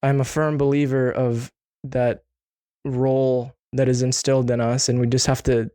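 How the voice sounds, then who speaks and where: A man's voice: mid-range voice, level tone, normal speed, dark tone, no echo, studio quality. A man hosting a podcast, speaking in an earnest, encouraging, motivational way in casual conversation. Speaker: podcast host.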